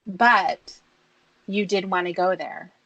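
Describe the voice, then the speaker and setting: A woman's voice: mid-range voice, slow, fairly bright tone, dry recording, low-quality recording. A woman questioning someone in a pressing, accusatory and insistent manner. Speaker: interrogator. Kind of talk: confrontational questioning.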